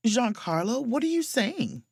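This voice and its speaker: A woman's voice: a little high, very animated, a little slow, creaky, a little mellow, breathy edge, no echo, clean studio sound, exaggerated voice. An actress playing an inquisitive woman space officer in a romcom audio drama, speaking expressively. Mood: dismayed. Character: woman space officer on holiday, keen amateur sleuth. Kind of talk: romcom audio drama dialogue and first-person narration.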